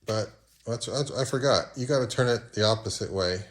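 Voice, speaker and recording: Low-voiced man, hands-on repair narration. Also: moderate pace. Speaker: repair tutorial presenter. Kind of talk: casual hands-on how-to narration.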